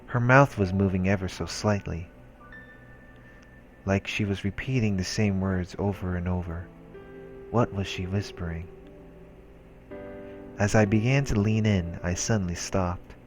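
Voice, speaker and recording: A man reading a visual novel aloud as a solemn, hushed narration. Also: low-pitched, steady tone, measured pace, pauses often. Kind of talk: scripted narration read aloud. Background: game music.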